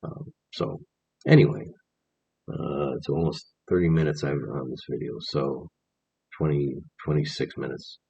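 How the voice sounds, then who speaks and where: A man in his forties with a very low, gravelly voice talks earnestly to camera, rambling and restarting as he goes. His tone is husky, and the audio is dull and lo-fi. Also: measured pace, dark tone. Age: 40s. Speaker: vlogger. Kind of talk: casual vlog monologue. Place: indoors, on camera.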